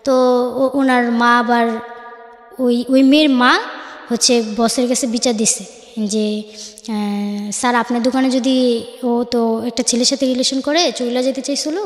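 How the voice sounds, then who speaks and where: Young Bangladeshi woman, high voice, halting personal storytelling with reported dialogue, echo-heavy room. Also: fairly even intonation. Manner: halting, self-correcting, acts out reported dialogue. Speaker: guest telling life story. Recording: echoey, reverberant room.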